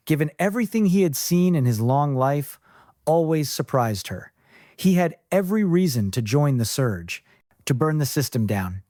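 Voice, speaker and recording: A man reading scripted fiction as an audiobook narrator, in a measured storytelling manner. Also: mid-range voice, dark tone, no room sound, studio quality.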